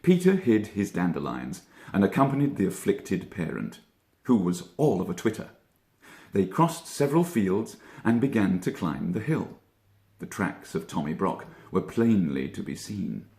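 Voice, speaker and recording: A man reading a children's story as an actor would, with expressive narration and deliberate dramatic pauses. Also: low voice. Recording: echoey room.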